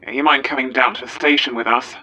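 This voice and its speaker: An actress playing a brusque police officer barking orders. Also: female voice, extremely low pitch, steady tone, fast, bright, forceful tone, full character voice, rough recording. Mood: commanding.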